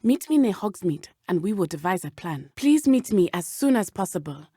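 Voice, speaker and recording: A woman voicing a brave, earnest teenage witch schoolgirl in a video game, speaking in a formal, measured way. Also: mid-range voice, very animated, dark tone, breathy edge, no room sound, clean studio sound. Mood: serious, determined. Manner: formal, measured, avoids contractions. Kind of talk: scripted game companion dialogue.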